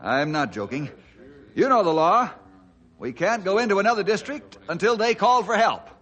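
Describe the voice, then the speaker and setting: A man playing a calm, firm, law-abiding ranger leader in a radio drama, measured and matter-of-fact. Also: high voice.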